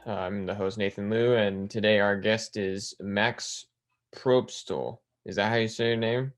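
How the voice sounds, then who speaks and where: Low-voiced male podcast host. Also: fairly even intonation, measured pace. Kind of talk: interview questions.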